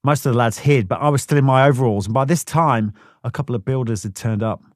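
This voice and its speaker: A man's voice: medium pitch, quick pace, dry recording, studio quality. A man narrating a first-person memoir audiobook in a blunt, plain-spoken way, swearing freely.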